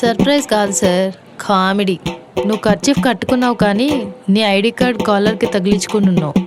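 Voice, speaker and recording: A woman's voice: mid-range voice, quick pace, music in the background, lightly acted. A woman playing a wry, teasing young college student in a comedy audio drama. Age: young adult.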